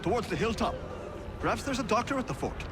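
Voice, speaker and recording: A man's voice: high voice, sing-song, fast talker, very noisy. An actor playing a worried townsman relating what he saw in a game scene.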